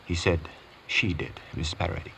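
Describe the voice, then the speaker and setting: A noisy vintage radio recording of a solemn valet and war veteran. His low voice has a sharp edge as he answers earnestly and respectfully, as a witness would. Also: fairly even intonation, moderate pace, creaky, full character voice. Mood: tense, earnest. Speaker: actor playing witness. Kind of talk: 1950s radio courtroom drama acting.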